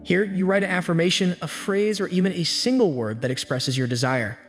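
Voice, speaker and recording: A man giving scripted self-help narration with an even, steady storytelling delivery. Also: sits high, brisk. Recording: long hall reverb with faint music. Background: faint background music.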